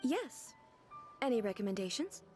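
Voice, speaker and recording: A woman's voice: a little high, very animated, moderate pace, darkish tone. An actress playing a dignified, formal, naively curious female ruler-god in a fantasy game. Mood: composed. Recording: game music playing behind.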